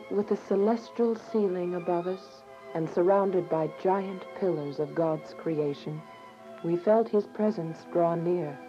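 A woman's voice: on the low side, lightly acted. An actress playing a devout young woman on the run, narrating her story earnestly in a radio drama. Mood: serene, reverent. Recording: dull lo-fi radio drama, music behind.

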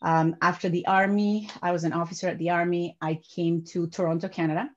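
A woman's voice: on the low side, a little fast. Woman from Peru on a video call. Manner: animated, repeats words while building sentences. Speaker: guest. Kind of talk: interview over video call. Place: home office. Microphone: webcam on video call. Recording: dull lo-fi video call audio.

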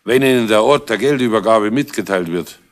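A man's voice: fairly low pitch, fairly quick, lightly acted. A man playing a shrewd, grumbling police inspector in a radio crime play, folksy and dry, with a Bavarian accent. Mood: wry, businesslike. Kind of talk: 1970s German radio play acting.

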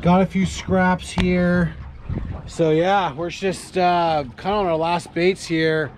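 A man's voice: high-pitched, slow. A man in his thirties on a small fishing boat, chatting casually to an action camera held at arm's length and explaining what he is doing. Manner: relaxed, chatty, explains as he goes. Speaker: fishing vlogger. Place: outdoors on a small fishing boat on open water. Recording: outdoor camera audio with boat noise. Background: boat and water noise.

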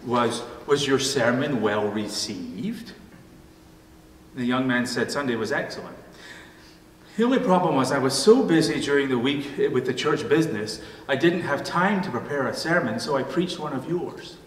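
A middle-aged or older man, a pastor, delivering a sermon with measured, deliberate phrasing. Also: mid-range voice, lively intonation. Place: church room at a wooden pulpit. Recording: echoey church hall, distant mic, faint noise.

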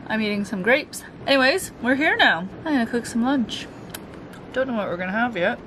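Brief: upbeat young woman, bright-ish voice, casual boat vlog, wind noise behind. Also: fairly high pitch, lively intonation, fairly quick. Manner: chatty, laughing, teasing. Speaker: sailing vlogger. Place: on a sailboat at anchor, outdoors and in the cabin.

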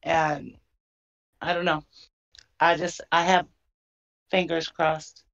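A woman's voice: deep voice, flat delivery, slow pace, gravelly, a bit bright, highs cut off. A hesitant woman panelist in a casual discussion who laughs nervously as she talks.

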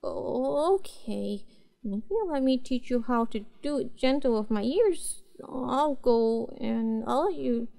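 A woman's voice: on the high side, animated, gravelly, warm tone, exaggerated voice. A woman playing a cute, naive young cat-girl in a roleplay audio drama, speaking earnestly and hesitantly to the listener. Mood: reluctant, shy. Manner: hesitant, childlike, halting phrasing.

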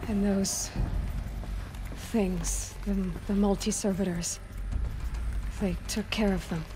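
A young video game heroine, played by a woman, exploring with troubled curiosity. Her mid-pitched voice is hushed and questioning, over clearly audible ambient noise. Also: steady tone, slow, natural voice. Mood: troubled wonder. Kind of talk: scripted video game dialogue.

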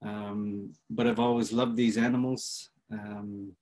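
A young man whose first language is Inuktitut, presenting his artwork on a video call, speaking slowly with many pauses, ums and uhs. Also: fairly low pitch, little pitch movement, a little mellow, low-quality recording. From Canadian Arctic. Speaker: artist. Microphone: video call, laptop mic.

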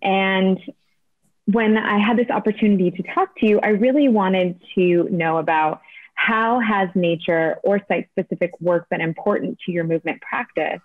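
A woman interviewing a guest over a video call, asking measured, friendly questions. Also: on the low side, no room sound. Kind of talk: conversational interview. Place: home room, video call. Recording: dry, tinny phone-like sound.

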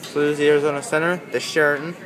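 A man casually narrating a city view from a revolving restaurant, naming landmarks in a low, matter-of-fact way on a handheld camera. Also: level tone, racing, low-quality recording. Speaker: amateur videographer filming a city view. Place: revolving rooftop restaurant at night. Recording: busy restaurant chatter behind. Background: diners chatting.

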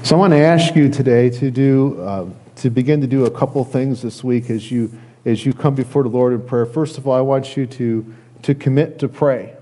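A middle-aged American man giving a sermon as a pastor, speaking earnestly and haltingly, often repeating phrases. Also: mid-range voice, warm tone. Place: church sanctuary, indoors at a lectern.

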